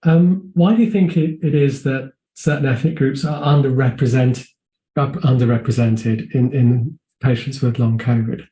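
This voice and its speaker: A man moderates a webinar panel and asks questions with some hesitation. His voice is dark and mellow at a middle pitch. It sounds echoey, as if he is in a roomy space. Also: fairly even intonation, moderate pace. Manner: hesitant, informal.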